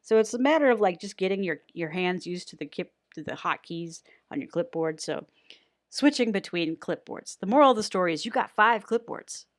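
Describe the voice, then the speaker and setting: A woman with a fairly low voice talks through an editing tutorial in a casual, off-the-cuff way. She rambles and pokes fun at herself, and the close microphone sounds very dry. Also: animated, fairly quick, no room sound. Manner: chatty, rambling, self-deprecating humour. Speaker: voice actor giving an audio editing tutorial. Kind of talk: casual unscripted screen-recording tutorial.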